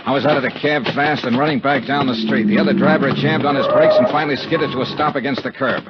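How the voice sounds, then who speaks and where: An actor playing a tough, wry investigator hero with a clipped, hard-boiled manner in a 1950s radio drama. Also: male voice, mid-range voice, fairly even intonation, fast, lightly acted. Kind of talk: 1950s radio drama acting and first-person narration. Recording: muffled, noisy 1950s radio recording.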